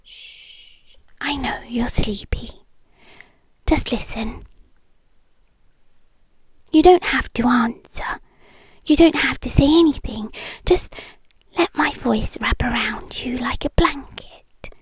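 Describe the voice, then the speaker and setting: A breathy British woman performing as a yandere girlfriend, speaking softly and very close in a dry ASMR recording. Her voice is high and often slips into a whisper. She is coaxing, doting and quietly possessive. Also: no echo, full character voice. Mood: tender, soothing. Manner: slow, soft, intimate and coaxing. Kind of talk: intimate ASMR roleplay. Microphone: close microphone, dry.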